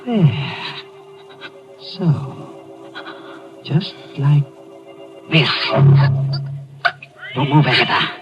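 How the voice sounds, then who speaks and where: A man's voice: mid-range voice, big pitch swings, drawn-out words, long pauses, over music. A man playing a massive, jealous, cunning mad sculptor in an old radio thriller, intense and brooding, with theatrical menace. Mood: quietly menacing. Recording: muffled old radio recording.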